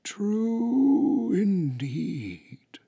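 A man voicing an ancient female tortoise historian who speaks gravely in a storybook. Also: sits high, very animated, dark tone, no echo, voice pushed up, exaggerated voice. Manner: grave, slow.

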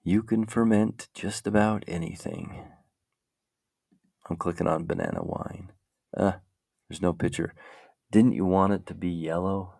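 Deep gravelly man, slow rambling chat. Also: no room sound, clean studio sound. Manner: meandering, muses aloud while browsing. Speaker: podcast host.

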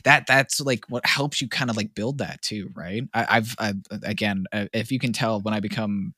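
Podcast co-host, man with a lowish, forceful bright voice, dry close mic, rambling delivery. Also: moderate pace, breathy edge, no echo. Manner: rambling, searching for words, many fillers. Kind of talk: casual podcast chat.

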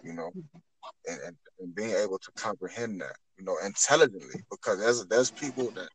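Low-voiced man in his twenties, repeating phrases. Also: very animated, brisk, creaky, breathy edge, no echo, rough recording. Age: 20s. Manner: earnest, repeats and rephrases phrases as he builds a point. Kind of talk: spiritual talk in conversation.

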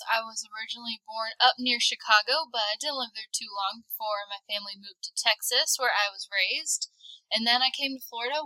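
A woman co-hosting a podcast as a physical therapist assistant, speaking calmly and composed. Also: fairly high pitch, dry recording, rough recording. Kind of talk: casual podcast chat.

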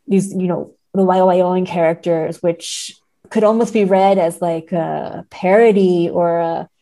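A woman's voice: lowish voice, creaky, dark tone. A woman speaking as a podcast guest in a thoughtful literary discussion, analytical and careful, often checking in as she goes. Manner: hedging, analytical, often seeking agreement with "right?".

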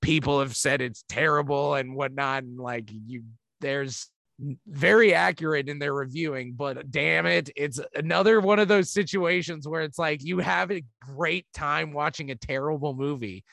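Man, podcast co-host, rambling and swearing, slightly high voice, crackly fry. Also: moderate pace, a bit bright, dry recording. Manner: rambling, swears, many "like" fillers. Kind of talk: casual movie chat.

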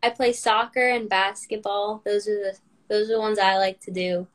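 A woman's voice: medium pitch, flat delivery, moderate pace. Hesitant teenage girl guest. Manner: hesitant, self-deprecating. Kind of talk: casual chat.

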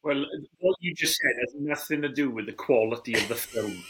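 Husky guy in his 40s, mid pitch, casual podcast chatter. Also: animated, moderate pace, a bit bright, poor audio. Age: forties. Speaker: film review podcast co-host. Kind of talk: casual chat.

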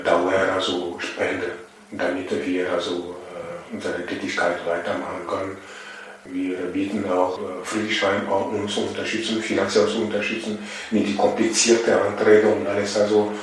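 A man from Togo whose first languages are Ewe and Mina, speaking as an interview guest with frequent fillers and emphatic repetitions. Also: deep voice. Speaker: interview guest, initiative member. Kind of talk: conversational interview. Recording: far from mic, echoey room, faint noise.

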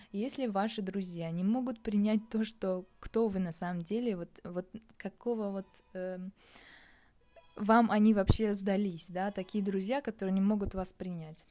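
A young adult woman vlogging in a casual reflective monologue, thinking aloud and pausing often to find her words. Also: mid-range voice, mellow. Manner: thinking aloud, hesitant with many pauses.

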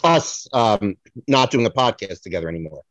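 A man's voice: lowish voice, animated, moderate pace, a bit bright, dry recording. A man co-hosting a comedic podcast skit with a hesitant, needling manner, on a dull, dry microphone. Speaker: podcast co-host and showrunner.

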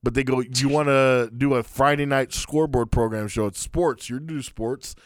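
A man's voice: normal speed, no room sound. Guy in his 30s telling stories on a podcast, acts out the quotes, real dry close sound. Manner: animated storyteller, acts out quoted people in a higher voice. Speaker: podcast co-host. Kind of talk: casual storytelling chat.

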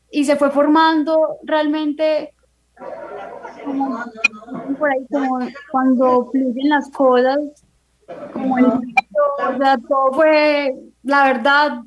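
A woman's voice: high voice, a little hiss or hum, low-quality recording. A woman talking spontaneously and reflectively in an interview.

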